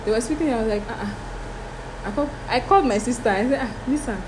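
Animated young Nigerian woman telling stories. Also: on the high side, quick pace. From Delta State, Nigeria. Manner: animated storyteller, dramatic quoting, mixes in pidgin. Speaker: guest. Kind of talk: casual chat. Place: indoor room, seated before curtains. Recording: room with air conditioner hum.

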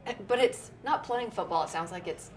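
A woman's voice: mid-range voice, a little fast. A woman hosting a TV interview, asking upbeat questions. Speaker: TV interview host.

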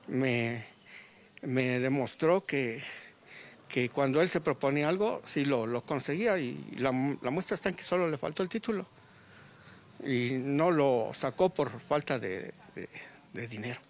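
A middle-aged man with a Mexican Spanish accent, a grieving father speaking in a live news interview outdoors at a funeral, halting with many restarts, into a handheld reporter mic. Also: medium pitch, expressive. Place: outdoors under a tent at a funeral.

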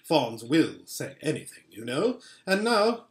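Sly storybook queen, performed by a man, coaxing, swooping pitch, roomy sound. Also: big pitch swings, unhurried. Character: sly, coaxing witch queen, falsely sweet. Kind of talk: storybook read-aloud.

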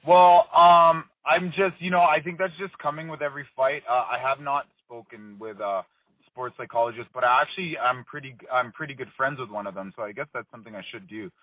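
A man in his thirties, a fighter being interviewed, talking in a relaxed, rambling way full of fillers and restarts. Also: mid-range voice, fairly quick, no echo. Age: 30s. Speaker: MMA fighter, interview guest. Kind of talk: casual phone interview. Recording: dry tinny phone line.

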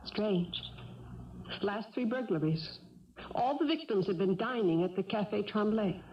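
An actress playing a shrewd, suspicious wife who questions coolly and pointedly in a 1970s radio drama. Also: female voice, mid-range voice, a little slow, lightly acted. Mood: cool suspicion. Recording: dull vintage radio broadcast, faint noise.

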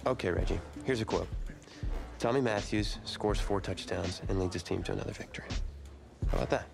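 A man playing a stressed, proud high school football star in a video game cutscene, casual and sardonic with an American accent. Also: a little fast, vocal fry. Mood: wry. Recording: background music under the voice.

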